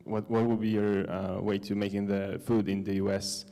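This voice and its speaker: A man with a Polish accent asking a question from the audience, haltingly searching for words in a reverberant hall. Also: lowish voice, level tone, vocal fry, dark tone. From Poland. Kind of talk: hesitant spoken question. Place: large conference hall. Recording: long hall reverb from conference sound system.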